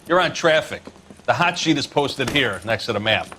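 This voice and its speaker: Bright, brisk American police boss. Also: male voice, mid-range voice, animated, slight background noise. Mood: brisk, informative. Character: brisk senior police officer showing a rookie around. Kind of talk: expository video game acting.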